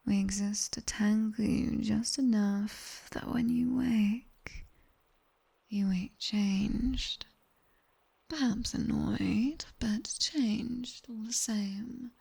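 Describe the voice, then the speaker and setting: A strict nightmare demoness voiced by a woman whispers with a British accent, very slowly and deliberately. Her voice is breathy and soft, with a creaky edge, yet commanding and teasing, as in an ASMR roleplay. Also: a little high, full character voice. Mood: stern, teasing. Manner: slow, measured, commanding. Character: sharp-tongued female nightmare demon tutor, strict, teasing.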